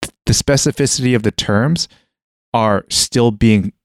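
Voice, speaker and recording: A man's voice: medium pitch, unhurried, slight background noise. A young man in casual conversation, talking through his thoughts hesitantly with many restarts and fillers. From Western world (Canada).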